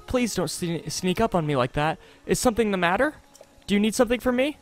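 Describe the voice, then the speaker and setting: A man reading aloud the game dialogue of a shy, lovestruck young village woman, halting and deferential. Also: sits high, expressive, pitched above the performer's own voice. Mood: shy, earnest. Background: game music.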